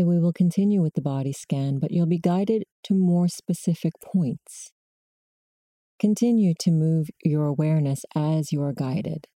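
A woman guiding a body scan meditation in slow, measured short prompts with long pauses between them. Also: on the low side, warm tone, no room sound, studio quality. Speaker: meditation guide.

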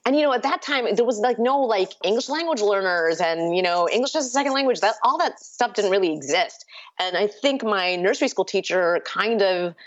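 A woman in her fifties whose first language is Urdu, telling stories in a relaxed conversation with many "like"s and "you know"s. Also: mid-range voice, a little fast, muffled recording. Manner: chatty, storytelling, frequent "like" and "you know". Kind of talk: casual conversation.

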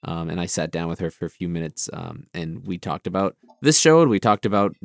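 A man hosting a podcast, chatting in a relaxed way with many fillers. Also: low voice, brisk, vocal fry, no echo, clean studio sound. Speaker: podcast host. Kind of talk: casual podcast interview.

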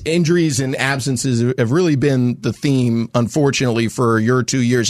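A man's voice: medium pitch, dry recording. Polished male sports podcast host, dry sound. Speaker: sports podcast host and radio play-by-play broadcaster. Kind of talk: polished sports interview hosting.

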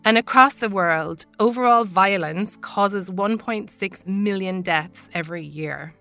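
A woman with an Irish accent, hosting and narrating a podcast intro in an earnest, measured way. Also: medium pitch, a bit bright, soft background music. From Ireland. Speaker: podcast host. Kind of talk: scripted narration.

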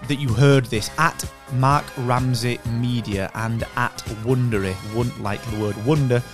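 A man's voice: mid-range voice, fairly even intonation, unhurried, over music. A man co-hosting a podcast in a casual, chummy, jokey way. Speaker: podcast co-host. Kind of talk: casual chat.

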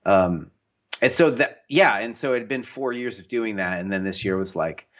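Low-voiced man telling stories casually. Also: normal speed, highs cut off. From US Northwest. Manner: animated storyteller, acts out quoted voices, many fillers. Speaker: guest author and painter. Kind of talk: casual conversational interview.

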